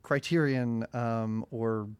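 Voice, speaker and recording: A man's voice: medium pitch, steady tone, slow, clean tone, no echo. A man with a nasal voice, a chatty and self-deprecating guest on a podcast, laughing at his own jokes. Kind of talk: casual podcast chat.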